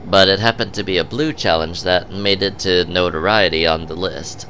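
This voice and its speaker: A man giving casual gameplay commentary, halting with many ums and uhs, sniffly from a cold. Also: low voice, steady tone, sharp tone, a little hiss or hum. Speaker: gaming channel host.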